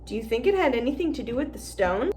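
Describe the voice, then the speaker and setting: A woman's voice: a little high, fast talker, slight background noise. A woman voicing a clever, coaxing schoolgirl witch. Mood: thoughtful. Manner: warm, flattering.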